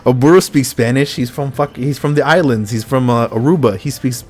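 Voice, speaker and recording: A young man with a rough voice hosting a podcast in a casual, laid-back chat, swearing freely as he talks. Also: mid-range voice, a little fast, darkish tone. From Mexican heritage. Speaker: podcast host.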